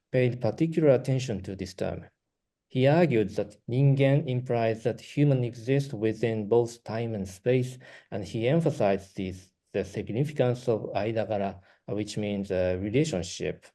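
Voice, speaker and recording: A man with a Japanese accent, whose first language is Japanese, giving a prepared academic talk as a researcher, reading carefully with brief pauses. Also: fairly low pitch, mellow.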